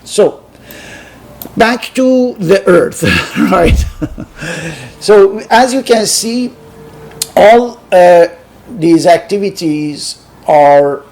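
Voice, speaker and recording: A middle-aged man with a Persian accent, giving a halting, unscripted lecture with many pauses. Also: sits high, lively intonation, a little mellow.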